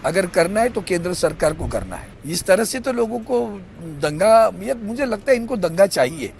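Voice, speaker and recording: A man's voice: high voice, very animated, normal speed, vocal fry, a little mellow, slight background noise. A man giving unscripted political remarks to reporters.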